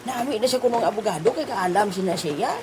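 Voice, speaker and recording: A woman's voice: mid-range voice, lively intonation, brisk, lightly acted. A woman playing a chatty, delighted holidaymaker in an audio drama, on a noisy recording. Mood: cheerful.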